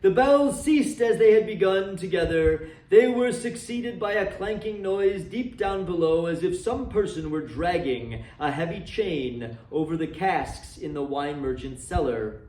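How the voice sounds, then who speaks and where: A man with a fairly high voice reads a story aloud. His delivery is dramatic and expressive, with measured phrasing. He is some distance from the microphone, and the room echoes clearly around him. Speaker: library volunteer reader and host. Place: indoor room decorated for Christmas, seated. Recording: roomy echo, distant microphone.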